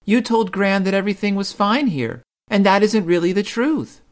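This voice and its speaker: A man voicing an earnest young girl bear cub in a storybook, high and insistent. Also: moderate pace, close to an everyday voice. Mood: protesting.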